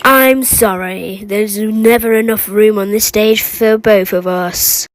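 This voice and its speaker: A woman voicing a grandiose, theatrical animatronic performer from a game, declaiming loudly and pompously. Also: mid-range voice, fairly even intonation, slow pace, dry recording, natural voice. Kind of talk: fan recital of game voice lines.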